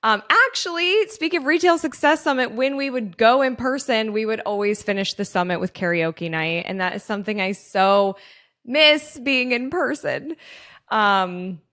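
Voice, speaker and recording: A woman's voice: fairly high pitch, moderate pace, fairly bright tone. A woman hosting a podcast, chatting with her guest in an enthusiastic, talkative way and laughing often. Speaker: podcast host. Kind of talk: casual interview chat.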